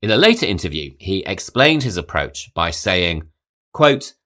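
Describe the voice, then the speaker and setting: Deep-voiced man narrating with lively pitch. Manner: measured, reads quotes aloud. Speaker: podcast host. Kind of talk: scripted film history narration.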